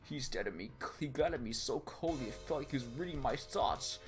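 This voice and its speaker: A man's voice: medium pitch, animated, a little fast. A young man reads narration for a homemade comedy dub in an everyday mid-range voice. He keeps stopping to joke and riff on the text. Faint background music plays under him. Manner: jokey, self-interrupting, riffs between lines. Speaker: fan dub narrator and voice actor.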